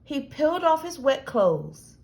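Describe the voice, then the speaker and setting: A woman reading a picture book aloud to children as host, with deliberate, dramatic phrasing. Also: high-pitched, big pitch swings, creaky, darkish tone, a little hiss or hum.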